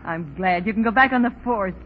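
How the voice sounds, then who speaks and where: A woman's voice: mid-range voice, brisk, close to an everyday voice. Woman acting as earnest loyal friend, worried, 1930s radio drama, muffled broadcast, faint noise. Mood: tender, regretful.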